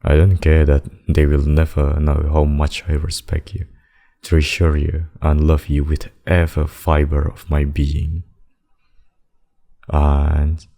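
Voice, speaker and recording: Male actor for demon king lover: very deep, unhurried, tender and protective, formal endearments, intimate ASMR delivery. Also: dark tone, heavily acted. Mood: tender, reassuring. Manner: slow, formal, doting speech with endearments. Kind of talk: intimate ASMR roleplay acting.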